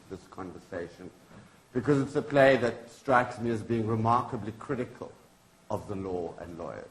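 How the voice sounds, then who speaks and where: Male academic presenter, lowish pitch, measured phrasing, live in a reverberant room. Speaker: event presenter and play reader. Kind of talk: informal introduction and stage-direction reading at a live event. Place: echoey indoor event room. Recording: echoey room with clear background noise.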